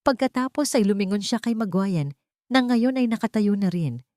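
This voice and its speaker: A woman storyteller reading scripted fiction in a deliberate, dramatic way, with short pauses between phrases. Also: medium pitch, expressive, darkish tone, no echo, studio quality. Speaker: storyteller, narrator.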